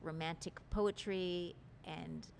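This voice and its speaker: A woman with a slightly low-pitched voice speaks as an interview guest. She explains her ideas in an articulate, expansive way with earnest conviction. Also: fairly even intonation, slow, vocal fry, a little hiss or hum. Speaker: relationship teacher, interview guest. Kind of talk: interview conversation.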